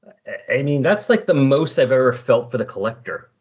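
A man with a slightly husky voice in casual, rambling, enthusiastic conversation as a podcast host. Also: medium pitch, big pitch swings, normal speed. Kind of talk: casual chat about comic books. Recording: dull, lo-fi recording.

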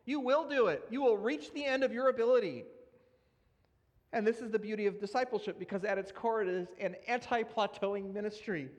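A man's voice: sits high, fairly quick. A man preaching a sermon, earnest and emphatic as he works through scripture readings. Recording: long reverb of a large hall.

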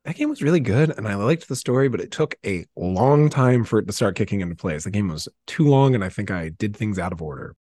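A man speaking as a podcast guest in casual, comedic chat, rambling and swearing in an animated way. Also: on the low side, a little fast, hardly any pauses.

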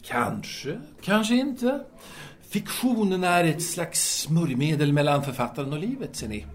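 A man playing a courteous, self-absorbed philosopher and writer in an audio drama, musing and halting as he explains himself. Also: a little high, sing-song.

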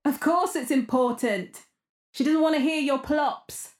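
A woman's voice: high voice, measured pace. A woman playing an exasperated wife and mother in an audio drama, blunt, bossy and impatient, in a roomy space. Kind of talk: naturalistic audio drama dialogue. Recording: roomy, echoing household sound.

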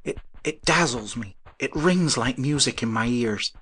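A man's voice: mid-range voice, animated, measured pace, fairly bright tone, slightly rough, pitched above the performer's own voice. A man voicing a young idealistic inventor in a storybook reading, impassioned and breathless with emotion. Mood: dazzled, pleading. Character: young idealistic male inventor, exultant and fervent.

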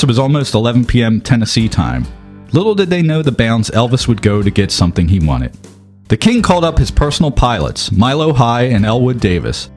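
Lively male storyteller over music. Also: medium pitch. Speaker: podcast narrator. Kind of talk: scripted comic narration.

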